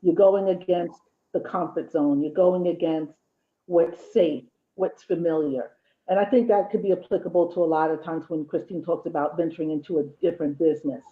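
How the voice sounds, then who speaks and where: A woman's voice: on the low side, fairly even intonation, normal speed. A woman in her fifties, a guest and the host's mother, chatting candidly and jokingly with reflective stretches. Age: 50s. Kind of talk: casual chat. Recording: tinny phone-like line.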